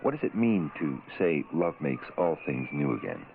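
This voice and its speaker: Man acting formal radio interviewer, extremely deep, gravelly, inquisitive, tinny vintage recording. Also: very animated, moderate pace, slight background noise, exaggerated voice. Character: inquisitive male interviewer questioning a president. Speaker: questioner in a public service spot. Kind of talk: scripted radio spot interview.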